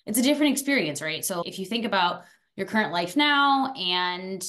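A woman's voice: mid-range voice, expressive, moderate pace, a bit bright. A young woman raised in France and then Arizona, chatting as a podcast guest in a talkative, upbeat and goofy way. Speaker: guest, alumna. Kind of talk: casual interview chat.

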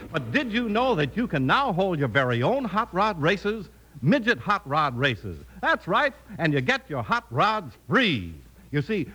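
A male American announcer in vintage 1950s radio style. His voice sits fairly high and is animated and forceful. He delivers every phrase with punchy, eager showmanship. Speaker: announcer and narrator. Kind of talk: 1950s radio ad and story narration.

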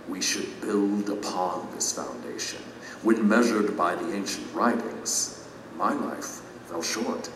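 A humbled old teacher turned lowly plumber, confessing his failures with solemn grief. His voice is very low and rings through a big echoey hall over music, in the manner of an allegorical audio drama. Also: far from the mic.

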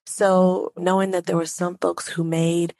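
A woman's voice: on the low side, little pitch movement, a little slow, warm tone, dry recording. A woman hosting her own podcast who talks with a stuffy nose, chatting directly to her listeners in a solo, conversational monologue. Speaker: podcast host.

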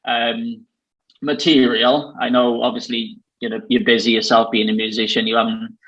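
A man's voice: medium pitch, flat delivery, normal speed, fairly bright tone, dull audio. A man from Wales with a Valleys accent, chatting casually as host, rambling with plenty of fillers. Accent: South Wales Valleys. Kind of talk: casual chat.

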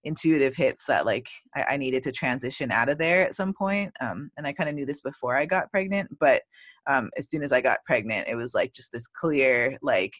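A woman's voice: a bass voice, normal speed, dry recording. A woman hosting a podcast who chats casually with her guest, using many "like" and "um" fillers. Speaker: podcast host. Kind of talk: casual interview conversation.

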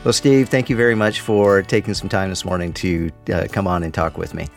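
A man's voice: sits low, fairly even intonation, brisk, vocal fry, soft background music. A man hosting a podcast in a conversational way, asking long questions made of several parts. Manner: conversational, asks long multi-part questions. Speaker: podcast host. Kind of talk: interview hosting.

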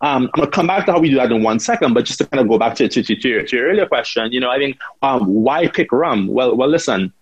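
Male founder from Trinidad and Tobago with a husky voice, recorded dry and close, answering interview questions with frequent restarts. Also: medium pitch, lively intonation, fast talker, few pauses, no echo, muffled recording. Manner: repeats words and says right often. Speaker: rum brand founder.

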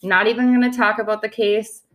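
A woman's voice: mid-range voice, fairly even intonation, a little fast, a bit bright. A woman co-hosting a podcast in a casual chat, sounding nasal from a head cold. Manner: chatty, laughs often, many fillers. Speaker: podcast co-host.

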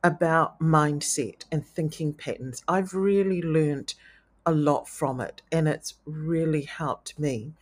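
A woman hosting a solo podcast, speaking in an earnest, gentle, thoughtful way with a low, tenor-like voice. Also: slow, breathy edge. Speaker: podcast host. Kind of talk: reflective solo podcast talk.

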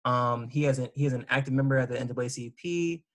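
A man's voice: mid-range voice, quick pace, a bit bright. Hesitant man reading a guest introduction. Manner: reads a guest bio with frequent ums and restarts. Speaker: host. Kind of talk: casual interview introduction.

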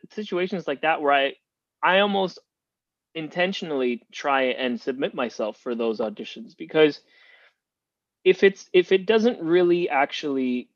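A man born in Cuba talks loosely as a guest, circling back on his words with plenty of fillers. His voice sits at an average pitch and comes through a dull, muffled remote line. The sound is dry, with no room around it. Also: dry recording. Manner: rambling, many restarts and fillers. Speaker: podcast guest. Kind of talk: casual interview talk.

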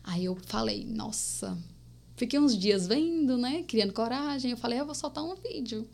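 A woman's voice: fairly high pitch, moderate pace, gravelly. A young woman in her twenties from Paraíba with a mixed Northeastern Brazilian accent, telling stories as a podcast guest. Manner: lively storytelling, often addressing listeners as "gente". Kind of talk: casual podcast chat.

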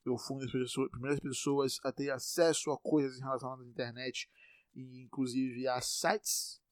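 A man's voice: medium pitch, little pitch movement, moderate pace, no echo, clean studio sound. A young man in his twenties from Salvador, with a Bahian accent, rambling casually with lots of swearing. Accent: Bahian Brazilian Portuguese. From Salvador, Brazil. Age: 20s. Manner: rambling, profane, self-mocking. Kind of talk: casual monologue.

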